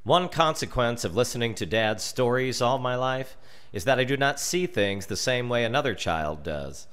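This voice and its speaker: An American man reading a scripted story as narrator, theatrically, with dramatic pauses. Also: medium pitch, expressive, fairly bright tone, smooth. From United States. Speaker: storyteller reading his comic family memoir.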